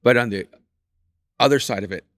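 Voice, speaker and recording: A man in his sixties, an author talking on a podcast, speaking in a measured, reflective way. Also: medium pitch, fairly bright tone, no room sound, studio quality. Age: 60s. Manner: measured, reflective, laughs easily. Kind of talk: casual podcast chat.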